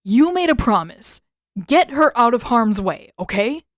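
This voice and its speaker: Excitable, sarcastic storybook teen boy woman performing, higher-pitched, punchy and exasperated. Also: measured pace, no echo, clean studio sound. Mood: alarmed, insistent. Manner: loud, exasperated, emphatic.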